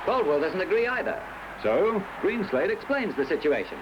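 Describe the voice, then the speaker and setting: A man with an Australian accent narrating a scripted 1970s sports newsreel in formal, measured phrasing. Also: high-pitched, sing-song. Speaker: newsreel commentator. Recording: dull, noisy old newsreel soundtrack.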